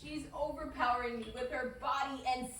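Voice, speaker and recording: A woman playing a feisty, exasperated young lab assistant in a comedic animated show table read. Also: high-pitched, brisk. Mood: frantic. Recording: very echoey room, distant mic, faint noise.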